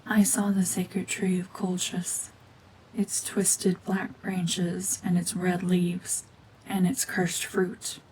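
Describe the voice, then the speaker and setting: Woman doing a cold jaded cyborg witch, hushed n breathy, lowish voice, real flat, asmr roleplay w some rumble behind it. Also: poor audio. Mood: haunted, bitter. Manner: hushed, breathy, brooding, deliberate delivery. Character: cold, mean, world-weary gorgon witch, war veteran cyborg. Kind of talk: dramatic ASMR roleplay monologue.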